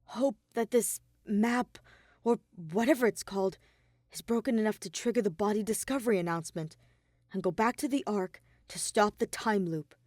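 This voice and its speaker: A woman's voice: mid-range voice, normal speed, a little mellow, no room sound, clean studio sound, lightly acted. A woman voicing an earnest, anxious young male detective in an audio story, wary and easily flustered. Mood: anxious, reasoning. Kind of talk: fan fiction audio story character voice.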